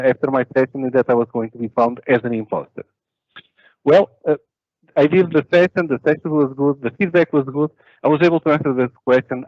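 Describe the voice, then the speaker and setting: Portuguese-accented man in his 30s, halting conference speaker, dry muffled webcam audio. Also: on the high side, dry recording. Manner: halting, many filler uhs and restarts. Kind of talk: online conference talk. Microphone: video call webcam.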